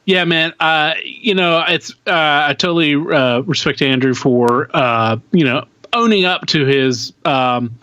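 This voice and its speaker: A man co-hosting a podcast in casual chat, speaking in a halting, informal way full of slangy asides. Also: fairly high pitch, bright, forceful tone, smooth, no room sound, highs cut off. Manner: halting, informal slacker speech with dude and man. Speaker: podcast co-host.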